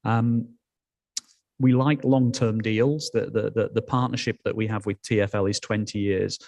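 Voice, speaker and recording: A man with a Yorkshire accent giving an informal business presentation in a somewhat halting way. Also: fairly low pitch, steady tone, gravelly, darkish tone. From Yorkshire, England. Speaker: company executive, guest speaker.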